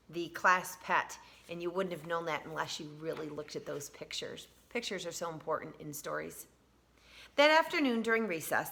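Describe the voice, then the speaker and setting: A woman with a bright, forward voice reads a picture book in the manner of an elementary teacher. She speaks simply and encouragingly to young children, adding explanations as she goes. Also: fairly low pitch, vocal fry. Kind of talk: children's read-aloud with explanatory asides.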